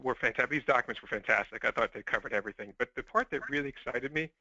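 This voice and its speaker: A man talks very quickly in a mid-pitched, bright, tense voice. He offers his view tentatively, over a dull, dry conference line. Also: no echo. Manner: tentative, qualifying. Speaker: call participant, recruiting group organizer. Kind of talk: informal opinion on a conference call.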